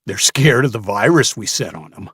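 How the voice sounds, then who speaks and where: A man's voice: lowish voice, lively intonation, moderate pace, fairly bright tone, husky, no room sound, clean studio sound, heavily acted. A man voicing a crude, taunting slaver in his twenties with a folksy way of talking, in an audiobook. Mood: scornful. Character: sneering, bullying young male slaver in his twenties.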